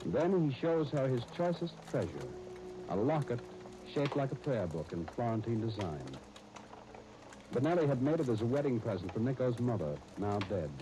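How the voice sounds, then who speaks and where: Animated American radio announcer man, noisy recording. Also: medium pitch, moderate pace. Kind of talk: 1930s radio announcing and ad patter.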